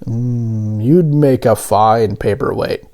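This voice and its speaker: A man voicing a mild, kindly, hesitant grown man in a storybook, with gentle, drawn-out phrasing. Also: fairly low pitch. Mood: hopeful, tentative.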